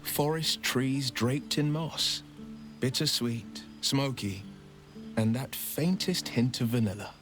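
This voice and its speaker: An actor playing a battle-seasoned heroic adventurer in a video game, with a theatrical storytelling delivery. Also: male voice, mid-range voice, slow pace, over music, natural voice. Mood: savoring, friendly. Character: heroic adventurer man, battle-seasoned monster hunter, warm comrade. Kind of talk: video game character dialogue.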